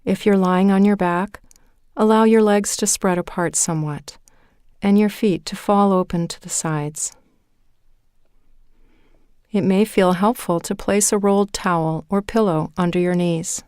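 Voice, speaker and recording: A woman leading a guided meditation in a calm, measured way, with deliberate pauses between phrases. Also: medium pitch, clear, resonant tone, no room sound, studio quality. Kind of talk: guided meditation, scripted narration.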